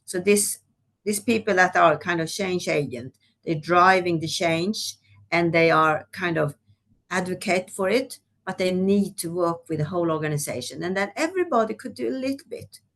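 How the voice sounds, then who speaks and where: A woman's voice: fairly low pitch, moderate pace, fairly bright tone. A woman from Sweden, speaking as a guest executive in a webinar discussion, talking conversationally with many "you know"s. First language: Swedish.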